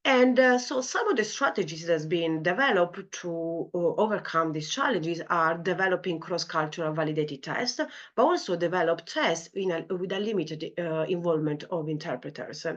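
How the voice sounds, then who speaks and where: A woman's voice: on the low side, normal speed, few pauses, highs cut off. A woman with an Italian accent, a senior lecturer in clinical psychology, presenting a conference talk with many "you know, like" fillers. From Italy. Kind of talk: academic conference talk with slides.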